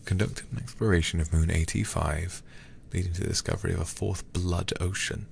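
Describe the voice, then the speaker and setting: A man streaming a horror game in a soft, intimate ASMR style close to the microphone, muttering and sometimes whispering. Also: sits low, fairly even intonation, fairly quick, vocal fry, a little hiss or hum. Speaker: livestreamer playing a horror game.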